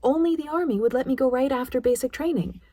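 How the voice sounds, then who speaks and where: A woman's voice: sits high, fast, dark tone, no echo, voice pushed up. A woman voicing an earnest, insistent young army nurse, eager and defiant, in an audiobook. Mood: defensive.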